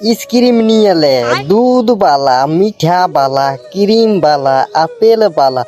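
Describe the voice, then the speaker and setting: An actor with a rural Purulia Bengali accent voicing a brash, stubborn village ice-cream hawker in a comedy cartoon, teasing and repeating singsong street calls. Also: male voice, high voice, lively intonation, measured pace, warm tone. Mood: hawking, coaxing. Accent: rural Purulia, West Bengal. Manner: repetitive singsong street-vendor calls, scolding banter. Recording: music behind the voice.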